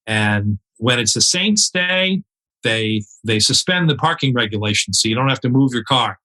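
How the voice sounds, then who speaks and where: A man's voice: medium pitch, pushed and bright, poor audio. A man guest on a podcast interview, telling stories earnestly and quoting other people with feeling, often restarting his sentences. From United States. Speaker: podcast guest, charity cyclist. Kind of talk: conversational interview storytelling. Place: home in New York City.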